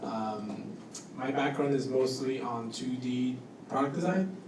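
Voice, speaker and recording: A man at a middle pitch gives an informal, self-effacing talk as a guest designer. His delivery is a little hesitant. He is heard from some distance in an echoey room with clear background noise. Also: unhurried. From Cuba and Costa Rica. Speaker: guest speaker, product designer. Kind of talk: informal spoken introduction at a panel talk. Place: indoor event room with audience.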